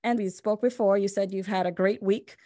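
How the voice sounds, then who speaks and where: A woman's voice: mid-range voice, steady tone, brisk, mellow, no room sound, clean studio sound. Upbeat woman hosting a podcast. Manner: upbeat, encouraging interviewer. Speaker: podcast host.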